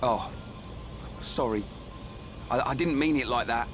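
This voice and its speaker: A man's voice: medium pitch, measured pace, natural voice. A man with an English accent voicing a brash, good-natured young soldier with blunt, colloquial speech in a video game. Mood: sheepish. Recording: orchestral music behind the voice.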